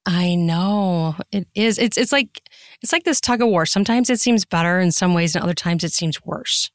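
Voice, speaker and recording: A woman's voice: lowish voice, moderate pace, dry recording, studio quality. A woman chatting casually and candidly as an interview guest, with an earnest way of making her points. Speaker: podcast guest, writer and podcaster.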